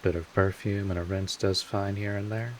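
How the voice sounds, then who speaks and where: A man playing a wry, laid-back vampire mentor in an intimate audio drama, casual and sardonic, with drawn-out pauses, protective and a little world-weary. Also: lowish voice, fairly even intonation, slight background noise, close to an everyday voice. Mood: amused, teasing.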